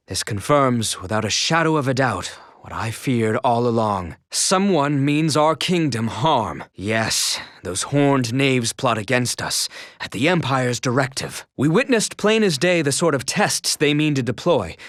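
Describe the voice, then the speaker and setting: A man's voice: medium pitch, expressive, measured pace, fairly bright tone, dry recording, clean studio sound. A man voicing a devoted, earnest young knight bodyguard in a fantasy video game, speaking in formal, archaic, courtly phrases. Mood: grave, formal. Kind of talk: scripted fantasy game acting.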